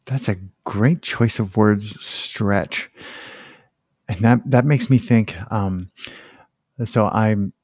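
A man's voice: lowish voice, slow pace, pauses often, a little mellow, no echo, studio quality. Curious, rambling male podcast host. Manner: curious, rambling, self-correcting. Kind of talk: casual interview conversation.

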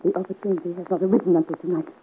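A woman's voice: fairly low pitch, steady tone, very fast, lightly acted. A woman acting a secretive, troubled young Parisian woman, earnest and dramatic, in 1950s radio serial style. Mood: confiding. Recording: narrow-band, hissy 1950s radio recording.

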